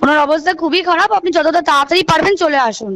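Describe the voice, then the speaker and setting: A woman's voice: extremely high pitch, rapid-fire, a bit bright. A woman with a Bengali accent giving urgent notice on a recorded phone call as a hospital official, repeating herself and hesitating. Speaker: hospital assistant superintendent calling a relative.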